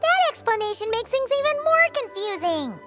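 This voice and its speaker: A woman's voice: sing-song, normal speed, fairly bright tone, faint music behind, full character voice. A woman voicing a small childlike fairy companion in a video game, bossy, emphatic and easily exasperated. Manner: squeaky, third-person speech, exasperated, emphatic.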